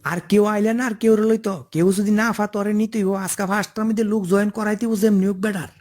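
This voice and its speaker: A man's voice: sits high, level tone, racing, darkish tone, close to an everyday voice. A man voicing a scheming, cocksure office clerk in a comic cartoon, pushy and wheedling, with an eastern Bengali dialect. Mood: cocky, scheming.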